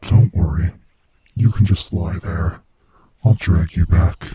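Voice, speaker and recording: A man voicing a sinister, gloating monster who hunts human prey, with a husky, rasping voice and a slow, taunting manner, in a horror ASMR roleplay. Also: warm tone, no room sound, exaggerated voice. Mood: smug, possessive. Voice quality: husky, breathy, rasping low voice. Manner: slow, menacing, theatrically taunting.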